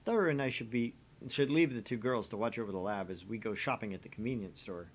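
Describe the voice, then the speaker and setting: A man's voice: lowish voice, fast talker. A man hosting and narrating a visual novel read-along, mixing scripted narration with casual asides.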